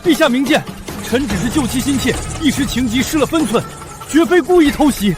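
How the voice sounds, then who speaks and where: High, indignant nobleman officer. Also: animated, brisk, music in the background. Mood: pleading, accusing. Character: indignant, self-righteous nobleman officer, scheming husband. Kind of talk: period short-drama villain acting.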